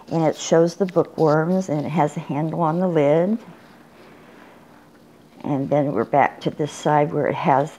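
An older woman showing off a handmade craft project in an unhurried, plain way, with small pauses and restarts. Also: sits low, warm tone. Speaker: crafter showing her work. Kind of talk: casual show-and-tell. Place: indoors at a table, bedroom. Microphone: phone camera filming from above.

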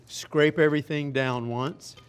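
A middle-aged man, a chef, talking through a recipe in a relaxed, chatty way in a restaurant demo kitchen. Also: a little high, a little slow, background noise. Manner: relaxed, chatty step-by-step explaining. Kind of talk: cooking demonstration.

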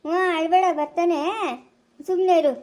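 A woman's voice: very high-pitched, fairly even intonation, normal speed, background noise. A woman or girl voicing a fussy, hard-of-hearing old grandmother who frets and calls out. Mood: fussing. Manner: fretful, scolding, calling out loudly.

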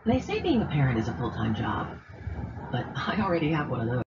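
A woman reading a scripted commercial voice-over, brisk, upbeat and chatty. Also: low voice, very animated, lo-fi sound, noisy background.

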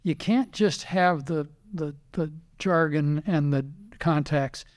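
An older American man speaks as an interview guest, looking back on his career. His voice sits a little high for a man. He talks casually in anecdotes, often playing out bits of remembered dialogue. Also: slow pace. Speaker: retired racing driver and team owner, interview guest.

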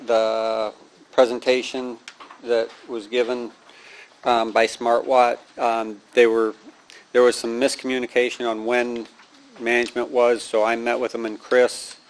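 A man's voice: medium pitch, monotone, unhurried, a little hiss or hum, rough recording. A man giving a committee report to a county board, plain-spoken and hesitant with many ums, in a large meeting room.

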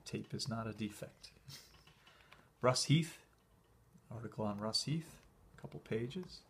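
A man casually talking through an old convention program as he leafs through it, relaxed and enthusiastic, with small fillers and pauses, recorded indoors by a camera filming his hands from above. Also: lowish voice, vocal fry, slightly rough. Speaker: collector showing a convention program. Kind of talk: casual flip-through commentary.

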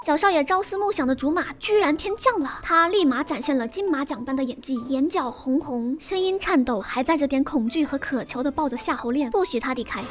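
A woman's voice: high voice, a little fast, few pauses. A woman retelling a novel's plot in a playful, teasing, humorous way. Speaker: novel recommender.

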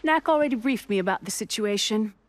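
Curt, mid-pitched robot-army commander played by a woman. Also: lively intonation, fairly quick, lightly acted. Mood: cool, cutting. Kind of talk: video game cutscene acting. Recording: faint music under the voice.

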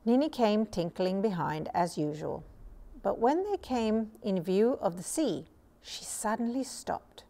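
A middle-aged woman reading a children's story aloud as a storyteller, measured and expressive. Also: medium pitch. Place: small furnished room indoors. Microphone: lapel mic.

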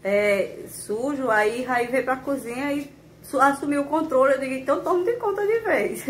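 Casting: woman in her thirties, cheerful chatty vlogger, somewhat high voice, filmed on a phone in a kitchen. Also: moderate pace, slight background noise. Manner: chatty, cheerful, addresses viewers. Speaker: channel host and wife. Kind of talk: casual vlog chat. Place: home kitchen. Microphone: phone camera, often a few meters off.

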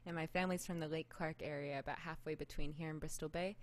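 A woman's voice: sits low, fairly even intonation, fast talker. A woman in her twenties from Alaska, a singer casually introducing a live set into a close mic in a living room. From Anchorage, Alaska. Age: 20s. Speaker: guest singer. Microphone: studio mic on a boom arm, close.

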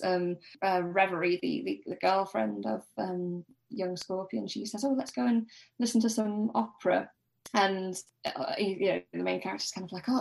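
A woman's voice: medium pitch, creaky, poor audio. A woman guest on a podcast, casually discussing a book in a tentative, agreeable, chuckly way.

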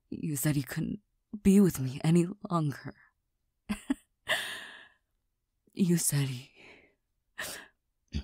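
A woman voicing a proud, touchy young girlfriend who hides her tenderness, speaking in a soft half-whisper with stammers and nervous laughs into a close mic. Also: sits low, breathy edge, no echo, heavily acted. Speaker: voice actor in a listener roleplay. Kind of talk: whispered girlfriend comfort roleplay.

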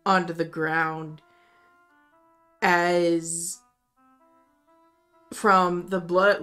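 A woman running a tabletop roleplaying game as game master, narrating with slow, dramatic storytelling. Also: on the low side, a bit bright.

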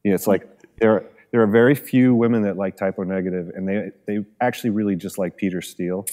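A man with a deep voice, a musician guest on a podcast, talking in a relaxed, joking way and swearing often. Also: fast. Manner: relaxed, profane, jokey. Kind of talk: casual interview chat.

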